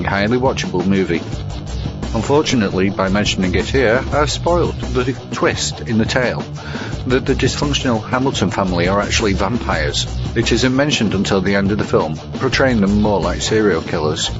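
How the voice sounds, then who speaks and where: Brief: male film reviewer, low voice, scripted countdown narration, muffled lo-fi sound with music underneath. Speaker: reviewer presenting a film segment. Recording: dull lo-fi with music behind.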